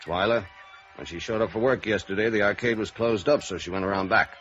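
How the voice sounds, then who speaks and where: Man playing terse, low-voiced radio police sergeant. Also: fairly quick, lightly acted. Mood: guarded, dry. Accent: American. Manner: clipped, deadpan. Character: terse, guarded, dry middle-aged male police sergeant. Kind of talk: 1950s radio noir acting. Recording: dull vintage radio recording, faint music.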